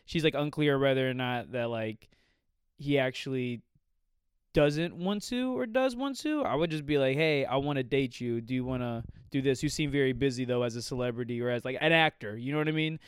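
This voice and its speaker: A man co-hosting a casual advice podcast, talking in a rambling, halting way full of fillers and jokes. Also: medium pitch, smooth, no room sound. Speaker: podcast co-host.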